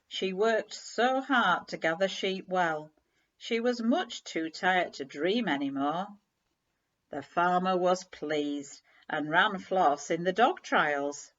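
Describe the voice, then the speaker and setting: A woman reading a children's story aloud in an animated, measured storytelling manner. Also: lowish voice. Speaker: story reader. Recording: slightly dull, lo-fi recording.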